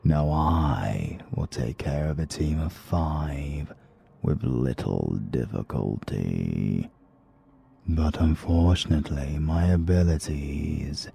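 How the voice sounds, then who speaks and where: Very deep British male narrator, slow and gravelly, for a dark audiobook. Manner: slow, measured, menacing delivery.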